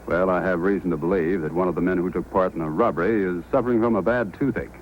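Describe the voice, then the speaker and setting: An actor playing a courteous, steady police sergeant, formal and measured, in an old-time radio drama. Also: male voice, sits low, natural voice. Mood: courteous, businesslike. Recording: faintly noisy old radio recording.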